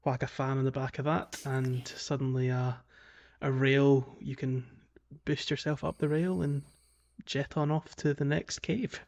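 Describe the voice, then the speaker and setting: Man co-hosting, casual mid-pitched voice. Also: fairly even intonation, measured pace, darkish tone. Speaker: podcast co-host. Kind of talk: casual video game discussion.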